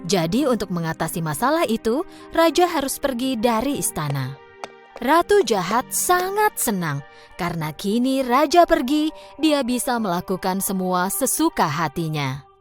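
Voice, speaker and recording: Casting: woman storyteller for children's cartoons, expressive, animated, higher-pitched, over soft music. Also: moderate pace. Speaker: narrator. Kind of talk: scripted children's story narration.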